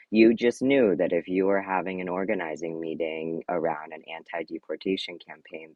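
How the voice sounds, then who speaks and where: A man's voice: extremely low pitch, a little mellow, husky, no echo, dull audio. A man from North Carolina giving a thoughtful panel answer, restarting often and tagging lines with "right?". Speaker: panelist, organizer.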